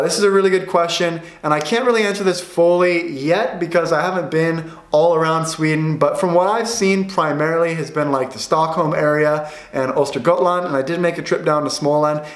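A man's voice: on the high side, normal speed, few pauses. A young American man hosting a casual vlog Q&A from a small room at home, upbeat and chatty, gesturing as he talks. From United States. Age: young adult. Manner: upbeat, chatty, gestures a lot with his hands. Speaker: vlogger. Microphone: camera in front, head and shoulders framing. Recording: roomy echo.

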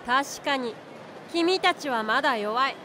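High boyish unicorn guardian. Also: female voice, expressive, slow pace, bright, forceful tone, a little hiss or hum, heavily acted. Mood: calm, encouraging. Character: small unicorn guardian beast, boyish, calm, knowing mentor. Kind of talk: anime-style voice drama acting.

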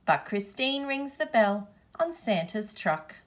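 A woman reads a children's picture book aloud. Her pace is slow, with careful, deliberate phrasing. The voice sits at an average female pitch. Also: sing-song, a bit bright. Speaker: storybook reader, teacher.

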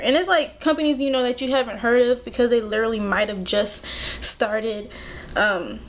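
A woman's voice: on the high side, a little hiss or hum. A woman in her twenties chatting casually to her viewers while she does her makeup, full of "like" and "you know". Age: 20s. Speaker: beauty vlogger. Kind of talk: casual chit-chat while doing makeup. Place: bedroom at home. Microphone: built-in camera mic at close range.

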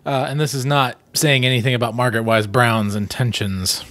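A man's voice: medium pitch, fairly even intonation, a little fast, fairly bright tone. A man in his thirties reading a children's book aloud expressively, with casual, chatty asides, close to a desktop microphone. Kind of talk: storybook reading with casual commentary. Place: small plain room indoors.

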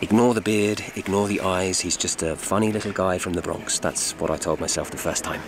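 An actor playing a dry, loyal, overworked assistant to a film director in a radio drama, matter-of-fact and understated. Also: male voice, low voice, a little fast, background noise. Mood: reassuring. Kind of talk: naturalistic radio drama dialogue.

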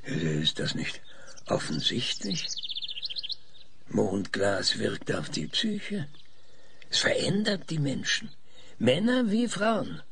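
Actor as halting, pedantic older male scientist, creaky. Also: fairly high pitch, big pitch swings, fairly bright tone, slight background noise, full character voice. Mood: pedantic, hesitant. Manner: hesitant stammering, pedantic, insistent. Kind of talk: German audio drama acting.

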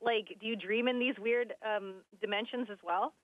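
A woman's voice: fairly high pitch, steady tone, normal speed, no echo. A woman hosting a podcast, asking casual, chatty interview questions over a radio link. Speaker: podcast host. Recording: tinny radio link, dry.

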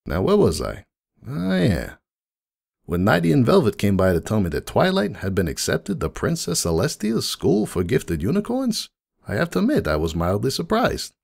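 A man's voice: medium pitch, wildly swooping pitch, moderate pace, creaky, a little mellow, heavily acted. A man voicing a world-weary middle-aged pony who runs a coffee shop, telling his story in a folksy, wistful, confiding way as a dramatic monologue. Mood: fond, reminiscing. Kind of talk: dramatic monologue reading of fan fiction. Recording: music behind the voice.

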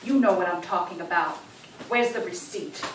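A woman's voice: fairly low pitch, moderate pace, natural voice. An older woman acting a nagging but doting elderly grandmother and wife in a live stage play. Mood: scolding. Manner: nagging, fussing. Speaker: stage actor. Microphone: far camera microphone. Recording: echoey theater, distant mic, clear noise.

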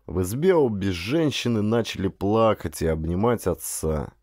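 A man narrating a literary audiobook in an expressive, dramatic storytelling manner. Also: fairly low pitch, moderate pace, no echo, clean studio sound.

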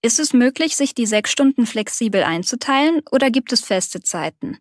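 A woman reading a bright young female job applicant. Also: a little high, animated, normal speed, dry recording, clean studio sound, close to an everyday voice. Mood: friendly. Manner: friendly, explanatory. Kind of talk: scripted learner dialogue.

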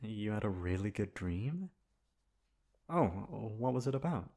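A man's voice: on the low side, sing-song, darkish tone. A man acting in an ASMR roleplay as a flustered, dominant young best friend, hesitant at times and blunt at others. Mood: playful, gentle. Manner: hesitant with pauses, turning bossy and blunt. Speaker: ASMR voice actor.

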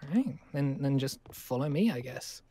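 A man's voice: mid-range voice, animated, normal speed, warm tone, close to an everyday voice. A man playing a polite, awkward school survivor in a game roleplay, stammering and apologetic as he rambles. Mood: eager, apologetic.

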